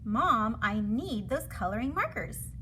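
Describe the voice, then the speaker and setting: Woman voicing an eager, high-pitched wheedling little girl. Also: very animated, a little slow, sharp tone, slight background noise, heavily acted. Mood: wheedling, insistent. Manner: pleading, insistent, emphatic.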